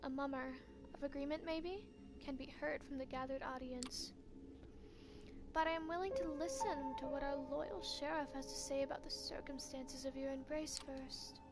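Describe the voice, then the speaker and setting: A woman's voice: sits high, fairly even intonation, normal speed. A woman reading a story game's text aloud in second person, making light asides now and then. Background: loud game music.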